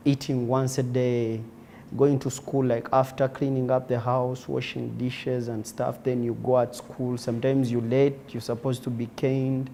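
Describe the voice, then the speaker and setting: A man's voice: mid-range voice, little pitch movement, measured pace, dark tone, slight background noise. A man in his twenties with a Ugandan accent, telling his personal story conversationally as an interview guest. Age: 20s. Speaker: interview guest, former footballer.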